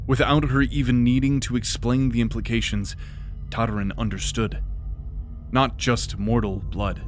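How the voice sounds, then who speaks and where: A man narrating a fantasy audio drama in a measured, dramatic way. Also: mid-range voice.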